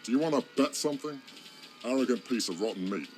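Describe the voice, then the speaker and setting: A man voicing an old one-eyed orc warlord, gruff and mocking, in a game cutscene. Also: mid-range voice, lively intonation, fast, darkish tone, soft background music, close to an everyday voice. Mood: scornful.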